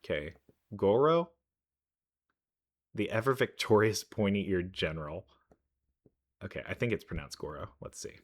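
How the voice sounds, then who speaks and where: A man's voice: low voice, lively intonation, measured pace, long pauses. A man giving casual game trailer commentary as a reaction host, thinking aloud in an enthusiastic, rambling way. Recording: music behind the voice.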